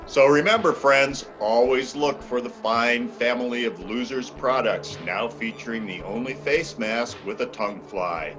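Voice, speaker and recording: A man's voice: medium pitch, measured pace, hardly any pauses, highs cut off, over music, natural voice. A man playing a cheery announcer reading a mock sponsor plug in a radio play.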